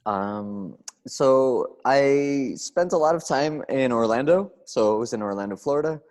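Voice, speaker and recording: A man's voice: medium pitch, lively intonation, unhurried, darkish tone. Plain-spoken man guest. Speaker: guest dancer. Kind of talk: casual podcast interview.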